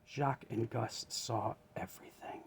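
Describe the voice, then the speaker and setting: A man reading a children's story aloud as an expressive narrator. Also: mid-range voice, measured pace, slightly rough.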